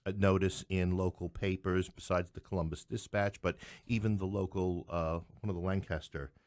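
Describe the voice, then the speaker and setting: Deep-voiced wry man telling stories. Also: fairly even intonation, moderate pace, a little mellow, no room sound. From New York City. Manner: wry, joking asides. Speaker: playwright and podcast guest. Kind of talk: conversational interview storytelling.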